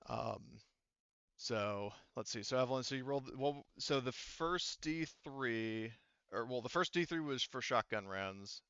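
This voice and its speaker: A man acts as game master in a casual tabletop session. He explains in halting, wandering asides, with a clear voice. The sound is dry and a little muffled. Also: medium pitch, animated, fairly bright tone, no room sound.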